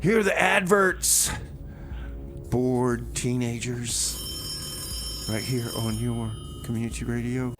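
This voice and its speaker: A man's voice: medium pitch, takes it slowly, long pauses. A man hosting a community music radio show. He talks casually, in a loose, joking and rambling way.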